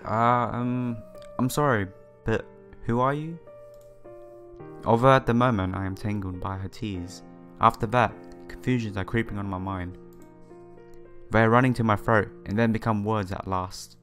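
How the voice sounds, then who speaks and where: Man, lowish voice, let's player narrating a visual novel, halting phrasing, music in the background. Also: fairly even intonation, a bit bright. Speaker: video game let's player. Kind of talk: reading visual novel text aloud with asides. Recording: music behind the voice. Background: game music.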